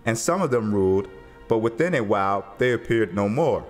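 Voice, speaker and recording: A man narrating dramatized scripture in a solemn, measured storytelling manner. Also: lowish voice, lively intonation, faint music behind.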